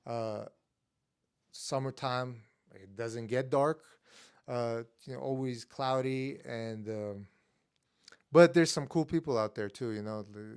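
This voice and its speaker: A young man born in Estonia whose first language is Armenian, casually telling stories in an interview with a relaxed, filler-heavy manner. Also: medium pitch, clean tone. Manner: relaxed, many fillers, acts out his younger self with rising excitement. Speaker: guest, MMA fighter.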